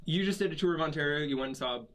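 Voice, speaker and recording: Playful, teasing Canadian host. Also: male voice, on the high side, racing, fairly bright tone. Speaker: podcast host. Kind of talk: casual interview chat. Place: indoors, at a table with drinks.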